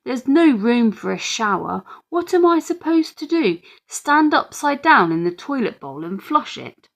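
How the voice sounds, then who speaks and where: A woman's voice: on the high side, sing-song, a little slow, creaky, pitched above the performer's own voice, exaggerated voice. A woman voicing an exasperated, teasing mum in a children's storybook, with an emphatic, incredulous, mock-scolding manner. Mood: amused disbelief.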